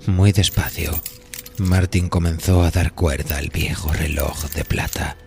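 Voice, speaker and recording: A man's voice: sits low, fairly even intonation, a little slow, gravelly, slight background noise. A man narrating a scripted horror story in a dramatic storytelling style. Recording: faint music behind the voice.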